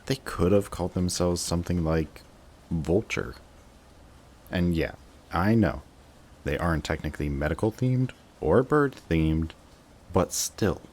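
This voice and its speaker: Very deep-voiced sardonic supervillain played by a man. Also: expressive, a little slow, long pauses, a little mellow. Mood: mocking, animated. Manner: sardonic, dry, taunting. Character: sarcastic, jaded male supervillain sparring with an old rival. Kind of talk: scripted roleplay audio drama monologue.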